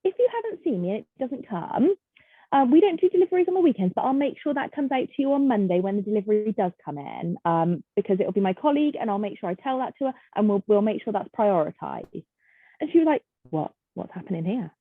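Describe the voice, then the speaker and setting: A woman in casual conversation, animated, acting out the people in her stories. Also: mid-range voice, fast talker, husky, no room sound. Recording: dry, phone-like sound.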